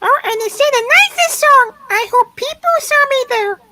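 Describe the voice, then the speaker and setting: Very squeaky, tiny little boy. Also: normal speed, background noise. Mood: sweet, earnest. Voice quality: squeaky, childlike. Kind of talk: amateur radio play reading.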